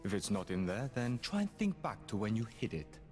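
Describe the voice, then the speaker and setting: An actor playing a loyal male manservant to a sorcerer, deadpan and politely sarcastic, in a game cutscene. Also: on the low side, fairly quick, long reverb tail, soft background music. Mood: dry. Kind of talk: video game cutscene acting.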